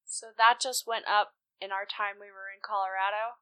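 A woman's voice: medium pitch, steady tone, normal speed, a bit bright, clear, resonant tone, no room sound, rough recording. A woman taking part as a player in a tabletop game, chatting casually. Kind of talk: casual chat.

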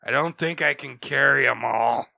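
Male player, bright mid-pitched voice, joking and crude at the game table. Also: normal speed, gravelly. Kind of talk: casual in-character game chat.

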